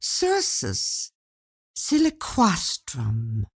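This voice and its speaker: Woman as a coaxing, scheming wife, lively intonation. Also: sits low, drawn-out words, husky, heavily acted. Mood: sweetly composed. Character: scheming wife, outwardly sweet and persuasive. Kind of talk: acted dialogue in a read short story.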